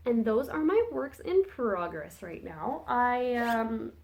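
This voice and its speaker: A woman's voice: fairly high pitch, big pitch swings, takes it slowly, gravelly, a little mellow. A woman hosting a podcast in casual chat, rambling and correcting herself as she goes, her voice phlegmy from a lingering cold. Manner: rambling, chatty, self-correcting. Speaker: podcast host. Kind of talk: casual chat about knitting projects.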